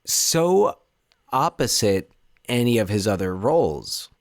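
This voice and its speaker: A man's voice: on the low side, very animated, takes it slowly, dry recording, studio quality. A man co-hosting a casual movie podcast, talking animatedly with frequent restarts. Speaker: podcast co-host. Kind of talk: casual chat.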